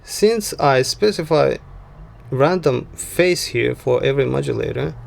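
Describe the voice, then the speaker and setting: Guy doing a sound design tutorial, slow n halting, bit of vocal fry, keeps checking in, some music behind. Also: medium pitch, lively intonation, a little mellow. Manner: halting, frequent "yeah" check-ins. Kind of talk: unscripted software tutorial narration.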